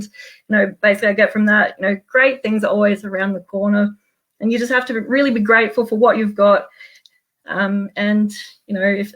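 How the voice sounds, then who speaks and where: A woman with an Australian accent gives a casual book review to an online group. She sounds earnest and chatty, with an average-pitched voice. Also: a bit bright. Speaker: meetup guest speaker, small business owner. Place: home office, on a video call.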